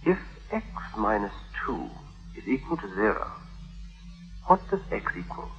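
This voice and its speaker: An actor playing an eager young algebra tutor, brisk, didactic and precise, in 1950s radio drama style. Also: male voice, lowish voice, very animated, soft background music. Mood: attentive. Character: eager young male algebra tutor, aged twenty-one. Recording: muffled 1950s radio broadcast.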